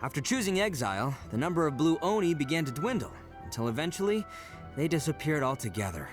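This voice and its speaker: Brief: a swaggering horned Oni tough guy, lively swinging delivery, faint music under. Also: fairly high pitch, fast talker. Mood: earnest. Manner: boastful, hearty laughs, earnest when serious. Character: brash young male Oni gang leader, proud and boisterous. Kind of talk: game cutscene voice acting.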